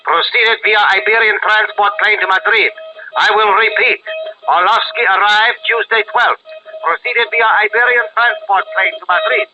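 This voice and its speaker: An actor playing a clipped, official police shortwave operator in a 1940s radio drama. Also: male voice, high voice, steady tone, normal speed, natural voice. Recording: muffled radio recording, music behind.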